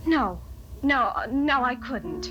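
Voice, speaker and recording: A woman's voice: sits high, lively intonation, slow pace, muffled recording. A woman playing a fragile, hesitant young patient who fears madness in a 1970s radio drama. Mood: distressed. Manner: hesitant, distressed. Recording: live 1970s radio recording, music behind.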